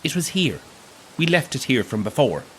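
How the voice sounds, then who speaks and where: Brash, animated Irish tour guide. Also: male voice, mid-range voice, normal speed, breathy edge. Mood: brusque, sarcastic. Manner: theatrical, teasing, colloquial. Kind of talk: audio drama acting.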